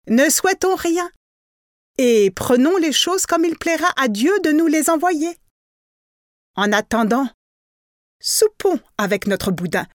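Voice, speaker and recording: A woman voicing an excitable, greedy peasant wife who talks emphatically and dramatically. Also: sits high, dry recording, clean studio sound, voice pushed up. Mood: wise.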